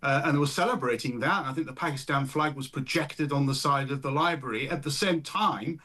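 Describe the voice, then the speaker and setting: A man from County Durham, giving measured, wry political commentary as a guest. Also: mid-range voice, sharp tone. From County Durham, north-east England.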